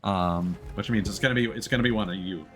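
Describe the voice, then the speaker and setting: A man's voice: low-pitched, quick pace, faint music behind. A man hosting a game livestream, chatting casually in an easygoing, hesitant way with trailing sentences. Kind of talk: casual gaming chat.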